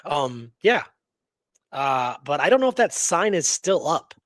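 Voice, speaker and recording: A man's voice: fairly high pitch, very animated, a little slow, fairly bright tone, no room sound, studio quality. A man co-hosting a radio show, casually telling historical research and often checking in with "right?" and "you know".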